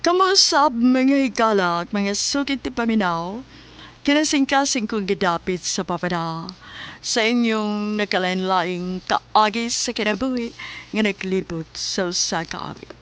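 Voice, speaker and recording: Woman announcer, Bisaya accent, smooth crisp voice, middle pitch, scripted radio drama presentation. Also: lively intonation, measured pace.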